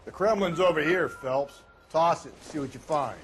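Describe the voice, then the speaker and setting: An actor playing a jaded, slangy, sarcastic older police detective in a 1940s crime drama game. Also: male voice, on the high side, moderate pace, noisy background, natural voice. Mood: businesslike. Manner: sardonic, slangy, world-weary.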